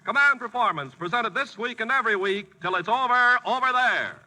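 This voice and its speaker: A man hosting a 1940s radio variety show in a showy, brisk master-of-ceremonies manner. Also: extremely high pitch, expressive, rough recording. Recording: vintage 1940s radio broadcast with music.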